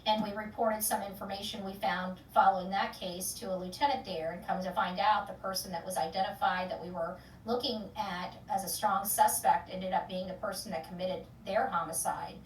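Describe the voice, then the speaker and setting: A woman's voice: medium pitch, steady tone, fairly quick, few pauses, roomy sound, far from the mic, a little hiss or hum, rough recording. A woman hosting an online true-crime channel, rambling casually with many fillers and restarts before an upbeat sign-off. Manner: loud, upbeat sign-off catchphrases. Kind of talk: casual chat, sign-off to viewers.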